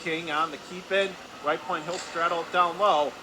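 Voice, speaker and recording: A man calling live ice hockey play-by-play for radio in clipped phrases, getting excited on scoring chances. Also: a little high, normal speed, rough recording. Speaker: play-by-play announcer. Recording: clear background noise, arena ambience.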